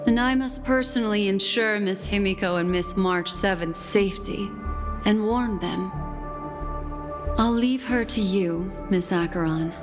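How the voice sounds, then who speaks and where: A woman voicing a composed, courteous and mysterious guardian in a video game, speaking formally and with gentle deliberation. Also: mid-range voice, a little slow, close to an everyday voice. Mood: solemn. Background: background music.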